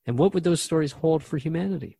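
A man's voice: a little high, quick pace, creaky, mellow, husky. A man acting as a radio host in a conversational drama, talking in an inquisitive, reflective way.

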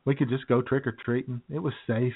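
A man's voice: mid-range voice, steady tone, fairly quick, slightly rough. A man co-hosting a comedic radio show, chatting with frequent laughs and mock outrage. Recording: phone-like radio audio.

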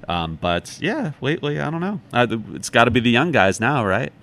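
An American man talking casually as an interview guest, telling stories in an animated, reflective way and correcting himself as he goes. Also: mid-range voice, brisk. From United States, from Chicago. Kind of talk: casual conversational storytelling.

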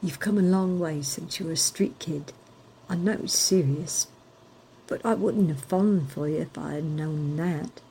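A woman's voice: low-pitched, a little slow, mellow, slight background noise, rough recording. A woman reading an audio drama scene in an encouraging manner.

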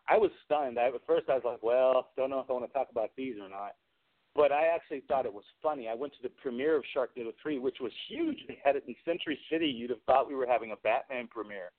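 Chatty man on a lo-fi call. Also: medium pitch. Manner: chatty, rambling, amused anecdotes. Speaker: guest, movie special effects and prop maker. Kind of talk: casual interview storytelling. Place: remote call into a radio show. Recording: lo-fi, phone-like internet radio call.

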